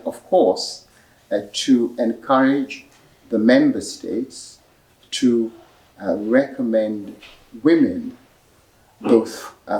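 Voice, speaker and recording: A man answers audience questions at a library book talk in a lowish, measured voice. He pauses often and fills gaps with small hesitations. The sound is echoey and a little distant from the mic. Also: a little mellow. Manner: measured, explanatory, with frequent pauses and fillers. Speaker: author and speaker at a book talk. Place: library event room.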